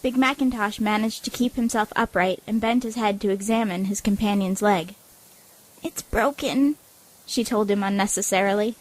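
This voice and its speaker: A woman's voice: medium pitch, steady tone, a little fast, hardly any pauses, low-quality recording. A woman reading scripted story narration as the narrator of an audio drama.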